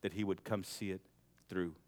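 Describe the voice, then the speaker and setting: A young adult man preaching a sermon, swinging from loud, shouted exhortation to quiet, intimate passages. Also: low voice, steady tone, moderate pace.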